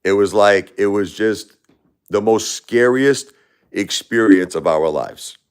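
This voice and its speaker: A man chats loosely on his own livestream, circling back on his words and calling out viewers by name. His voice sits very low. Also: slow, smooth. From Brooklyn, New York. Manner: rambling, repeats words and restarts, addresses chatters by name. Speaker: livestream host. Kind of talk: casual livestream chat with viewers.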